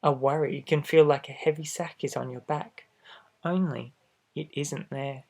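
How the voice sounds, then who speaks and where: Guy reading a kids storybook out loud, gentle n expressive, chats to the little listener as he goes. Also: a little high, unhurried, creaky. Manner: gentle, expressive, addressing a young listener directly. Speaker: storybook reader for children. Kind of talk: read-aloud picture book narration with asides.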